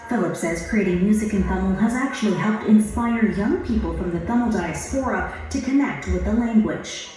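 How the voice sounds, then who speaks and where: A woman reporter reading a scripted news report in a measured, even way. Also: medium pitch, heavy reverb, far from the mic, low-quality recording.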